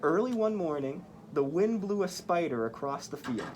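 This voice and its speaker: A storyteller reading a picture book aloud to children in a slow, animated way. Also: medium pitch, slight background noise. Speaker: library story-time reader dressed as Spider-Man.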